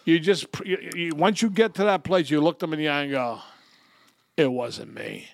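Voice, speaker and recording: Male podcast co-host, crude and animated storyteller, somewhat high gravelly voice, close dry sound. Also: moderate pace, no room sound. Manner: profane, animated joking with frequent laughter. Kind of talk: crude comedic storytelling and banter.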